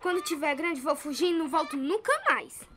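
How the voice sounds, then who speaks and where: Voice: sits high, fairly quick, over music, natural voice. An actor playing a spirited, resentful eleven-year-old farm boy in an earnest radio drama style. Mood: bitter, defiant.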